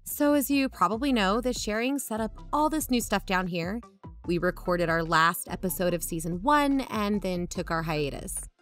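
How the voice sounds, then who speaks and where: A woman's voice: medium pitch, lively intonation, moderate pace, lightly acted. A woman playing a frank, determined podcast co-host, talking in a conversational, matter-of-fact scripted style. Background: background music.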